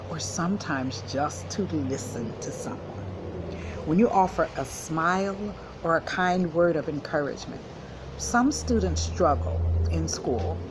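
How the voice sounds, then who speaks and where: Low-voiced woman counselor teaching young children. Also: background noise. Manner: simple, encouraging address to young children, with deliberate emphasis. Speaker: school counselor reading to children. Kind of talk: storybook read-aloud and lesson talk for children.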